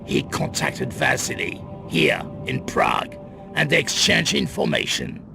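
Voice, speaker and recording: An actor playing a grim, guarded middle-aged crime boss in a video game cut-scene, with a gravelly voice and grave, deliberate delivery. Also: male voice, mid-range voice, wildly swooping pitch, full character voice. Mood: grave, ominous. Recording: loud music under the voice.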